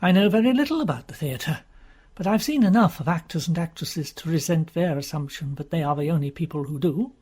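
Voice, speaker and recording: An awkward, earnest young man in a storybook, stiff and a little dogmatic in how he speaks, voiced by a woman reading aloud. The voice is husky and lively in pitch. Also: a little fast.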